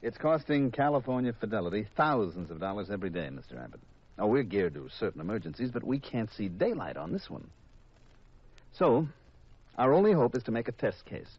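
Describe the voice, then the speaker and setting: A muffled vintage radio drama recording of a cynical insurance investigator. He holds forth in a lowish voice with very lively intonation. His manner is long-winded and exasperated, like a weary lecturer. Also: a little fast, slightly rough. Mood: exasperated, sardonic. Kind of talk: 1950s radio drama acting.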